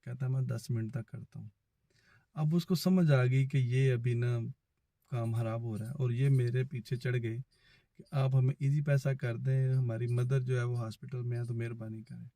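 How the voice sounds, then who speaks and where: A man's voice: mid-range voice, steady tone, normal speed, mellow, no echo. A man with a Pakistani accent telling a personal story in a casual, conversational way, quoting back-and-forth dialogue as he goes. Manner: conversational, acts out both sides of remembered phone conversations. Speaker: vlogger.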